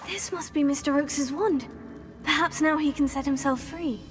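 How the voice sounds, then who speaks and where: An actress playing a young witch student who talks in a calm, matter-of-fact way. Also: high-pitched, moderate pace, over music, close to an everyday voice. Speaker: player character.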